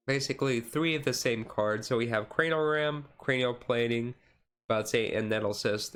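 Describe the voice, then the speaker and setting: Casual, halting man streamer, chill delivery. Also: medium pitch, fairly bright tone, smooth. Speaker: streamer host. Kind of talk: casual card game deck commentary, chill ASMR stream.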